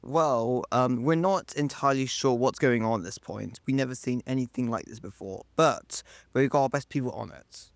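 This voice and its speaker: A young man playing a cautious, reassuring science professor giving a hesitant, measured interview in a radio drama. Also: mid-range voice, vocal fry, dry recording, close to an everyday voice. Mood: guarded, reassuring. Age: young adult.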